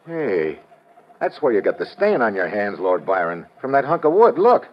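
Low-voiced hard-boiled adventurer, old radio. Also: male voice, huge pitch swings, normal speed, a little hiss or hum. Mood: alarmed. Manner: clipped, hard-boiled, slangy. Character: tough, wry adventurer hero narrating his own story. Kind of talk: 1940s-50s radio adventure acting. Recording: dull old radio recording with music.

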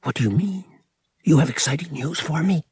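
An eager boyish space adventurer, voiced by a male narrator, pitched well up and hushed. The voice is breathy and husky, full of urgent curiosity, in an audiobook tale. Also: a little fast, no echo, clean studio sound. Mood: puzzled, curious.

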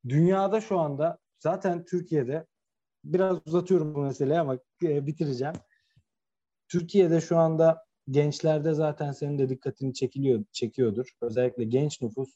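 A man's voice: on the high side, warm tone. A man co-hosting a football podcast, talking casually and making opinionated points with emphatic repetition. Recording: dull, lo-fi sound.

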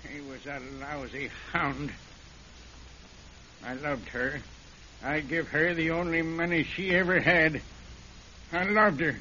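A folksy backwoods killer. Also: male voice, sits high, expressive, normal speed, fairly bright tone. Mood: broken. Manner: folksy drawl, old-fashioned backwoods talk. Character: simple-talking rural man feigning madness, a killer. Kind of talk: 1940s radio acting. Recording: dull hissy old radio recording.

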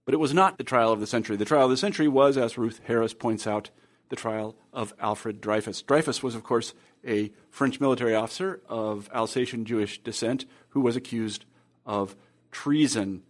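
Midwest american guy hosting a book interview show, mid pitch, chatty with lots of uhs. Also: moderate pace. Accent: General American. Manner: conversational, with frequent uh fillers and restarts. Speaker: podcast host. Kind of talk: interviewing an author.

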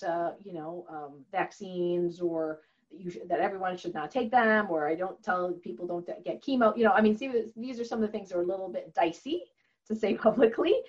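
A woman whose first language is Chinese, speaking as an interview guest in an earnest, chatty way with frequent laughs and fillers. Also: on the low side. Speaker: guest, holistic doctor and author. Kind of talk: conversational interview answer. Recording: slightly dull, lo-fi audio.

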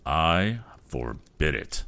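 Man as a curt, stern old inventor, deep and cold. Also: sing-song, extremely slow, pushed and bright, heavily acted.

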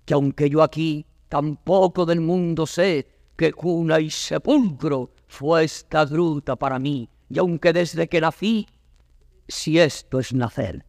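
An actor from playing a wretched, wild young captive prince in solemn, declamatory 1950s classical verse drama. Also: male voice, on the high side, slow pace, vocal fry, darkish tone, no echo, clean studio sound. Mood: awed, sorrowful.

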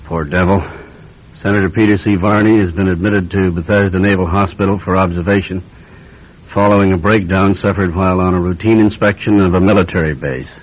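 A man's voice: low-pitched, steady tone, brisk, highs cut off. An actor playing a military man reading an official statement aloud in a radio drama, in a detached way.

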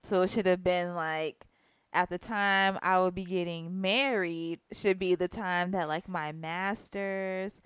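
Rambling young woman, low and smooth. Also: steady tone, takes it slowly, a bit bright, no room sound. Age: 20s. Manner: rambling, lots of "like" and "you know". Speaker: podcast co-host. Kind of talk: casual chat.

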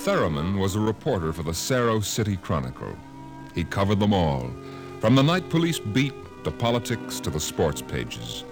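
A very deep-voiced man narrating an old radio science fiction show with sweeping, dramatic inflection. Music plays behind him throughout the vintage broadcast. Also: very animated, normal speed. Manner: dramatic, declamatory. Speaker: announcer and narrator.

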